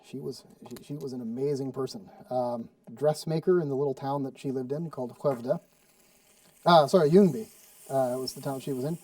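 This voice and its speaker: A man's voice: medium pitch, moderate pace, mellow, dry recording, recorded from a distance. Chatty man cooking, heard from afar. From Sweden. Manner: chatty, rambling with many fillers and restarts. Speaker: cooking show host. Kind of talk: casual cooking demonstration. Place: studio kitchen.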